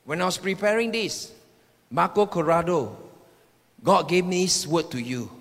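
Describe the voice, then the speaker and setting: A male preacher with a high-pitched, forceful voice delivers a sermon in a hall with long reverb. He speaks in emphatic declarations, often repeating phrases for weight. His tone is bright and sharp as he addresses the congregation. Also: normal speed, gravelly. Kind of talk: sermon with personal prophetic words to the congregation.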